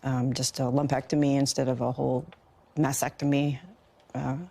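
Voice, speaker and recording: A woman's voice: very deep voice, flat delivery, moderate pace, gravelly, dark tone. An older woman with an American accent giving personal testimony in an interview. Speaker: patient interviewee.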